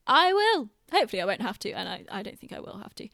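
A woman's voice: on the high side, big pitch swings, quick pace, gravelly, a bit bright, no echo, studio quality. A British woman chatting casually on a podcast in an informal, self-deprecating way. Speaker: podcast host and theatre director.

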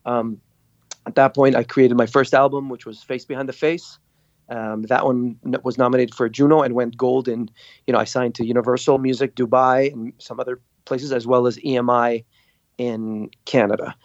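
A man from Lebanon, a guest on a podcast, telling his story in a lively way and acting out what others said. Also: mid-range voice, moderate pace, dry recording, muffled recording. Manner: animated storyteller, acts out quotes. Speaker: guest, singer and producer. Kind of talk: casual interview storytelling.

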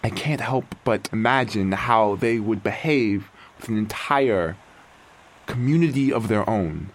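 A man's voice: lowish voice, measured pace. A man playing an aging artificial intelligence, a doting creator-parent musing with theatrical wonder in an audio drama monologue. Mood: proud, halting. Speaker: narrator and lead character. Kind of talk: dramatic first-person audio fiction monologue.

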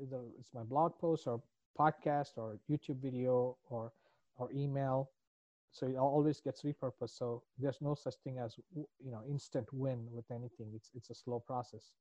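A man's voice: mid-range voice, steady tone, normal speed, mellow, no echo, muffled recording. A middle-aged man with a foreign accent in English, a business coach answering podcast interview questions in an earnest, reflective, rambling way.

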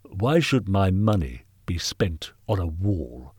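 A man's voice: deep voice, very animated, takes it slowly, husky, no room sound, clean studio sound, exaggerated voice. A man voicing a haughty, bullying Victorian knight in a storybook reading, pompous and scornful. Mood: contemptuous, angry. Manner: pompous, forceful, contemptuous. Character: haughty, callous, bullying Victorian gentleman knight, angry benefactor. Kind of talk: dramatised audiobook character reading.

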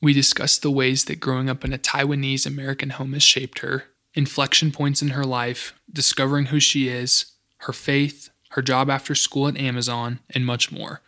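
Young man hosting a podcast, flat delivery. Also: medium pitch, normal speed. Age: 20s. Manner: upbeat, scripted intro then casual questions. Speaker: podcast host. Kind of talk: podcast intro and interview.

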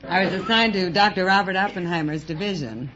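A woman's voice: fairly low pitch, fairly quick, noisy background. A woman from Chicago with an American accent, chatting and telling stories as a quiz contestant. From Chicago, USA. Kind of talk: conversational storytelling on radio. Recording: dull old radio recording.

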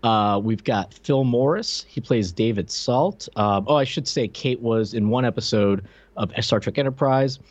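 A man's voice: mid-range voice, a little slow, no room sound. A man co-hosting a casual pop-culture podcast, talking in a hesitant, rambling way with joking asides. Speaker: podcast co-host.

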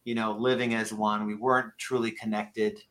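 Bright-voiced man of fifty hosting a podcast. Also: on the low side, flat delivery, normal speed. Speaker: podcast host. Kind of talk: casual conversational podcast interview.

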